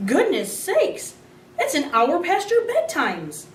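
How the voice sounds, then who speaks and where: A woman voicing a brisk, exasperated mother in a children's storybook reading. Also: very high-pitched, wildly swooping pitch, echoey room, distant mic, a little hiss or hum, voice pushed up, exaggerated voice. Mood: alarmed.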